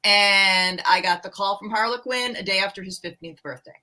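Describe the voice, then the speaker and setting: A woman's voice: mid-range voice, moderate pace, pushed and bright. A middle-aged woman, a romance author and co-host, chatting candidly and a little self-deprecatingly about writing books. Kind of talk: casual book chat.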